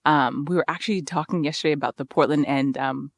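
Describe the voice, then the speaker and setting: A young woman from Sudan, a podcast guest in her twenties, talking excitedly with lots of likes and ums. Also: deep voice, quick pace, dry recording. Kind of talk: casual chat.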